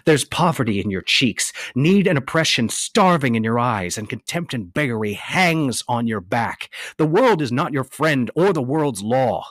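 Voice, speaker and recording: A man playing an anguished, resolute young nobleman in a dramatic reading of a stage tragedy, declaiming deliberately. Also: medium pitch, animated, fairly bright tone, no echo, voice pushed up. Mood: urgent, forceful.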